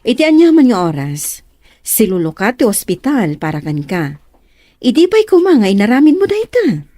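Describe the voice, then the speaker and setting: A woman's voice: a little high, very animated, normal speed, warm tone, no room sound. An actress with a northern Philippine accent playing a patient, understanding female doctor with a reassuring manner in a radio drama.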